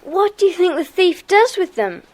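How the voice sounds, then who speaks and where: A woman's voice: very high-pitched, sing-song, normal speed, a little mellow. A woman playing an inquisitive schoolgirl detective in a children's audio drama, earnest and questioning. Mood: curious.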